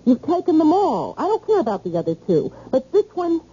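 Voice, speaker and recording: Upset girlfriend in an old radio play, swingy high voice, really insistent, muffled tape. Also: wildly swooping pitch, brisk, mellow. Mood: accusing. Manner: emphatic, accusing, plain-spoken. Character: hurt, insistent young woman in love, confronting boyfriend. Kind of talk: old-time radio drama acting.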